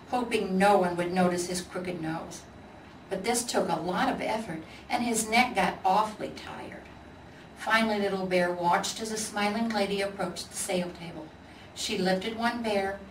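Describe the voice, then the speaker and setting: A woman with an American accent narrating a children's storybook as a volunteer reader. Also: medium pitch. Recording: echoey room, distant mic, faint noise.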